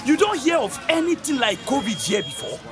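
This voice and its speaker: A man's voice: very high voice, wildly swooping pitch, fairly quick, over music, full character voice. An actor playing a scornful, hot-headed Nigerian townsman, argumentative and theatrical in a Pidgin radio drama. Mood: warning.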